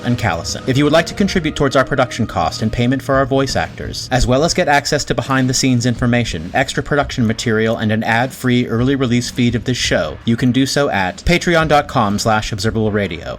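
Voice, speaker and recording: Male announcer, mid-range, scripted credit read over a clear music bed. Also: mid-range voice. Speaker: podcast announcer reading credits.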